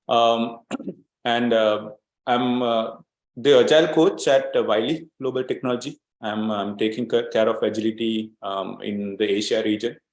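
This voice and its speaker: A man's voice: mid-range voice, fairly even intonation, moderate pace, roomy sound, low-quality recording. A man from Sri Lanka presenting an online training webinar as an agile coach, explaining steadily with many fillers and repeated words.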